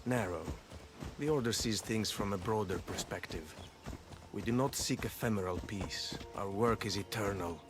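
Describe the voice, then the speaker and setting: An actor playing a philosophical, quietly menacing cult storyteller in a video game, speaking in a measured, eloquent, theatrical way. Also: male voice, fairly low pitch, natural voice. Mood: smug, persuasive. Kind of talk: video game dramatic acting. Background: music.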